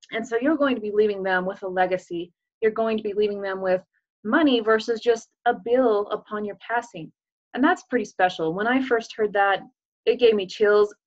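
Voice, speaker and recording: A woman's voice: medium pitch, highs cut off. A woman giving an earnest, upbeat recruiting presentation over a video call, with casual fillers. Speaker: business presenter.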